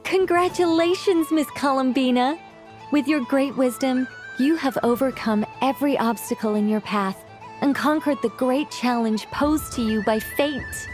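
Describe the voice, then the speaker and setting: A woman's voice: high voice, a little slow. A woman voicing a proud, needy, whimsical ancient mage in an anime game, theatrical, chatty and self-conscious. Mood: excited. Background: music.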